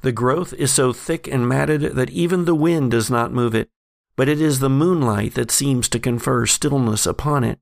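A man with a gravelly voice hosting a show, moving between scripted reading and casual talk. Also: mid-range voice, moderate pace, no room sound, clean studio sound. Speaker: podcast host.

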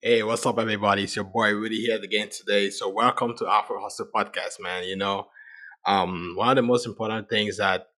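A man's voice: lowish voice, sharp tone. A man in his thirties hosting a podcast alone, talking casually in a rambling way with frequent restarts and "you know" fillers. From Cameroon. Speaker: podcast host. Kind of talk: casual solo podcast monologue.

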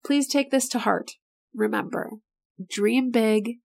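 Middle-aged lady podcaster, peppy pep-talk vibe, kinda raspy and tired, lots of vocal fry. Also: a little high, slow pace. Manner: enthusiastic, motivational, chatty. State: voice getting raspy, not feeling great. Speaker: podcast host and business owner. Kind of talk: casual solo podcast talk.

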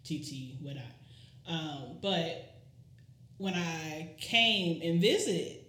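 A woman's voice: low-pitched, animated, very slow, fairly bright tone. A woman co-hosting a podcast in casual chat, emphatic and repeating her key phrases. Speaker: podcast co-host. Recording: roomy echo.

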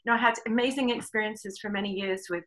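A woman's voice: medium pitch, fairly even intonation, fast, fairly bright tone, rough recording. A middle-aged woman with an English accent, casually telling her own story as an interview guest. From England. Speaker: webinar guest, riding clinician and horse trainer.

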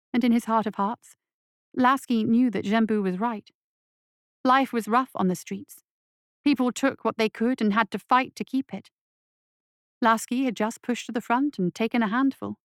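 Woman reading dark fiction, dry studio sound. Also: a little high, fairly even intonation, normal speed, no room sound. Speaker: story reader. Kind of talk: scripted dramatic fiction narration.

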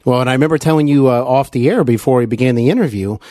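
A man interviews a guest in a conversational podcast style. He sounds polite and agreeable, sometimes tentative as he feels his way to a question. The voice is close and dry, at an average pitch. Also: rapid-fire, dark tone, dry recording.

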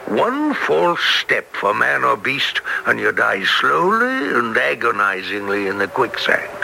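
A man playing an excitable, eccentric naturalist with a sinister edge and hesitant starts, in old radio drama style. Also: mid-range voice, unhurried, gravelly, full character voice. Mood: ominous, knowing. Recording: faintly noisy old radio recording.

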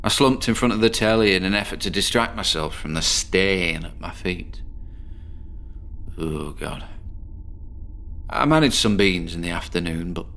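A man's voice: sits low, lively intonation, a little slow, pauses often, fairly bright tone, full character voice. An actor playing a troubled, sleepless British man who tells his morbid story as a weary, hesitant monologue in a horror audio drama. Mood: dejected. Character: troubled, insomniac bedsit loner, morbid and self-deprecating patient.

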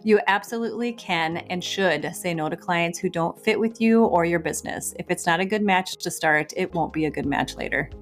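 A woman co-hosting a business podcast, reading quick tips in a calm, soothing, matter-of-fact way. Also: on the low side, faint music behind. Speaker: podcast co-host. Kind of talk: rapid-fire podcast tips.

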